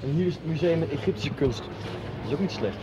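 A man's voice: a little high, fairly quick, lo-fi sound, close to an everyday voice. A young actor playing a friendly, easygoing young man who shows a visitor around, in a radio soap with street noise. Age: young adult. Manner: friendly, relaxed, guiding. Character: Bert, a young Dutchman working in Berlin, helpful and easygoing. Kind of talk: 1990s radio soap acting. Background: city traffic and street sounds.

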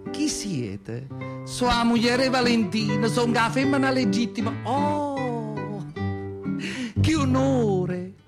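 A man playing an earthy, feisty old Southern Italian woman who tells her memories in expressive Neapolitan dialect, in a theatrical radio monologue. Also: very high voice. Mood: indignant, confrontational. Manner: expressive, colloquial dialect storytelling. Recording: prominent acoustic guitar music behind.

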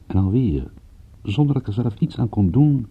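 An actor playing a world-weary, reluctant private detective who tells his story in a brooding, measured radio drama narration with a husky voice. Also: medium pitch, animated. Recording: muffled, phone-like old radio play recording.

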